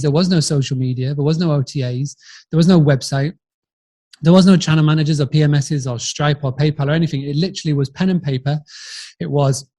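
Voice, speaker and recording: A man's voice: mid-range voice, darkish tone. A middle-aged man from Yorkshire with a northern English accent, talking in a chatty, rambling way as an interview guest. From Yorkshire, England. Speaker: podcast guest, business founder. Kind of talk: conversational storytelling in an interview.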